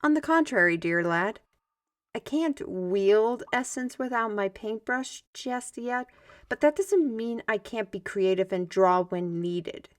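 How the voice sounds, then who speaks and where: A woman acts out a theatrical artist mage from a fantasy audio drama. She speaks in a mid-range voice with formal, old-world wording. Her delivery is expressive, like a mentor who tells tales, with sighs and small asides to herself. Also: medium pitch, unhurried, natural voice. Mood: animated, indignant. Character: lively, theatrical artist mage with a magic paintbrush, storytelling mentor. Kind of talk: fantasy audio roleplay, ASMR.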